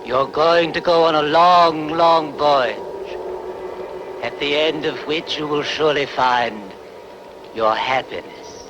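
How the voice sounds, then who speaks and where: A man's voice: on the high side, slow pace, dull audio. A man playing an earnest, solemn soothsayer who speaks insistently in a film dub. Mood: solemn prophecy. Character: earnest male soothsayer pleading before a queen. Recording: clearly noisy background.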